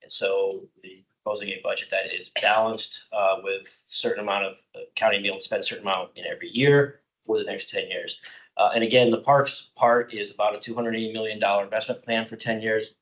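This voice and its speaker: A middle-aged man, slightly hoarse, presenting a parks budget plan at a public commission meeting, explaining informally with many "uh" fillers. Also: on the low side, fairly even intonation, fairly quick, a bit bright, low-quality recording. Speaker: county parks department staff presenter.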